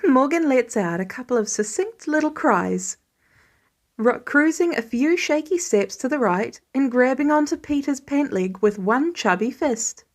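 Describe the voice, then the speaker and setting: Woman reading fanfic aloud, voice a bit on the high side. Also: animated, a little slow. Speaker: fan fiction podfic reader. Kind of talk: scripted story narration.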